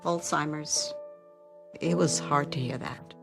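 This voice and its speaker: A woman playing a mother facing memory loss, in a soft testimonial for an awareness ad. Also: deep voice, steady tone, takes it slowly, creaky, music in the background, full character voice. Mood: subdued.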